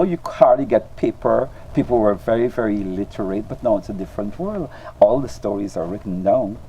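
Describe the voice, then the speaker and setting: Deep-voiced older Guyanese man, earnest. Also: warm tone, slight background noise, poor audio. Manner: earnest, proud, repeats words as he searches for them. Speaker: storyteller and clown interviewed. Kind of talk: reflective interview answers.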